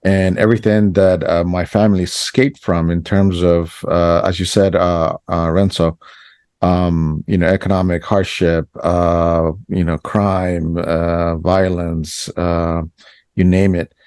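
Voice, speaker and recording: An American man hosts the interview in a very low voice. He talks haltingly, full of "uh" and pauses. The sound is dry. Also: level tone, darkish tone, clean tone, no echo. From United States. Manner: halting, many fillers. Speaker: interviewer, host. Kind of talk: interview hosting.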